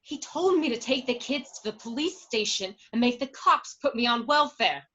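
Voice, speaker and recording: A woman's voice: on the high side, animated, normal speed, sharp tone, highs cut off. A woman acting as an angry, hurt wife of a jailed mobster, accusing and emotional, in a radio drama. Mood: despairing.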